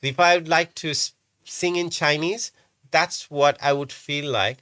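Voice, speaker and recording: A man's voice: a little high, fairly even intonation, measured pace, bright, forceful tone, clear, resonant tone, no echo, studio quality. A man hosting a live call-in show, talking in a chatty, rambling way with frequent restarts. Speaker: host. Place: London show set-up.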